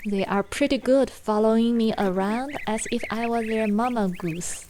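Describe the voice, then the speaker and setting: A woman from China with a Chinese accent, gently narrating a watercolor painting tutorial in unhurried explanations. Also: a little high, a little hiss or hum. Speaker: watercolor art teacher and farm owner.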